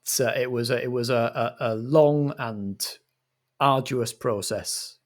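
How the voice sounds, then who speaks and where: A British man hosting and narrating improvised radio comedy in a measured, mock-formal manner. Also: mid-range voice. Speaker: host and game master.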